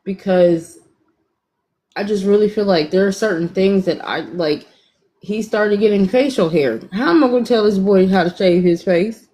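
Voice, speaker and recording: A woman's voice: mid-range voice, mellow. Frank woman on a phone call. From Atlanta, Georgia, US. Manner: frank, self-deprecating, talks in quick bursts. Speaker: caller on a live stream. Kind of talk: candid casual storytelling.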